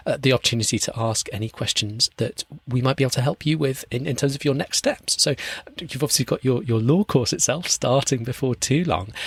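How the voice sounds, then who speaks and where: A man's voice: medium pitch, creaky, slightly rough, dry recording. A man hosting a study podcast, encouraging and repeating words as he starts his phrases. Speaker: podcast host and study coach. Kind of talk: podcast interview.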